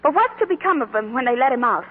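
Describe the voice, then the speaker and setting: A woman's voice: high-pitched, lively intonation, fast talker, breathy edge, narrow, tinny audio. An actress playing an earnest, big-hearted young shopgirl in a 1940s radio drama, on an old broadcast recording. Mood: impassioned, pleading.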